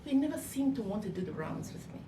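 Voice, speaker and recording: A woman's voice: medium pitch, sing-song, quick pace. An older woman telling personal memories in an interview, emphatically, often acting out what was said. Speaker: interviewee, former nurse. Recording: echoey room, distant mic, faint noise.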